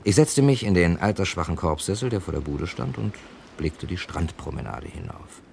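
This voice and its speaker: A wry amateur drawn into a mystery, telling it in a low, dry voice. He sounds like the lead of an old German radio thriller, with faint noise on the recording. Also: expressive, moderate pace, gravelly, a little mellow. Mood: dry, suspenseful narration. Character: male first-person crime narrator, wry, drawn into trouble. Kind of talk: 1950s-80s German radio crime play acting.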